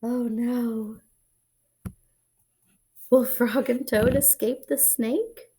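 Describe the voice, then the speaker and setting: A woman reading a children's picture book aloud, expressively, pausing to explain and put questions to young listeners. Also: fairly high pitch, fairly even intonation, dark tone, a little hiss or hum. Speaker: read-aloud storyteller and teacher-like reader.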